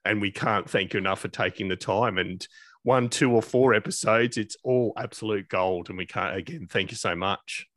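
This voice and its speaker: A man's voice: on the low side, normal speed, fairly bright tone. A man hosting an interview podcast in an appreciative, effusive conversational manner. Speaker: podcast host.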